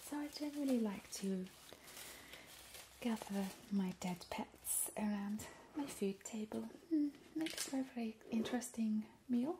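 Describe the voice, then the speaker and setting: A woman playing a playfully creepy, doting vampire in a breathy, cooing ASMR whisper. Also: on the high side, background noise. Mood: doting, macabre. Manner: slow, lingering, cooing. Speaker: ASMR performer. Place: small indoor kitchen.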